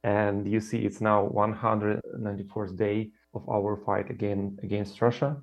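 A man from Ukraine with a Ukrainian accent, answering interview questions in a halting way with fillers and repeated words over a video call. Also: fairly low pitch, flat delivery, highs cut off. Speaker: guest.

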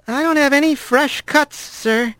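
A man's voice: very high-pitched, a little slow, pushed and bright, no echo, pitched above the performer's own voice, exaggerated voice. Man doing a nervous young rat. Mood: careful. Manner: hesitant, polite. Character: nervous young male rat, quick-witted.

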